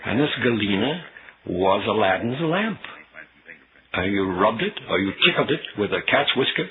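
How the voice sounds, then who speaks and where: A man's voice: lowish voice, sing-song, normal speed. An older man from Boston telling nostalgic stories in a dramatic, emphatic way, full of wonder. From Boston, United States. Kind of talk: nostalgic reminiscence, storytelling. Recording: tinny band-limited audio under loud music.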